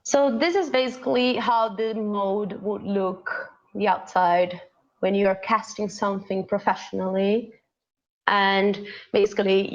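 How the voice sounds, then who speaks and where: A woman's voice: medium pitch, highs cut off. Woman guest, Portuguese native, on a call. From Brazil. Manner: searching for words, many fillers. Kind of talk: casual explanation on live stream.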